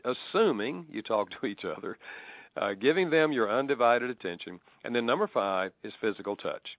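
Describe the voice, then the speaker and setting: A man's voice: fairly low pitch, dry recording. A man with a Southern US accent, a radio guest and author, explaining in a folksy, plain-spoken way with chuckles. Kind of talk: radio interview. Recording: phone-line guest, dry.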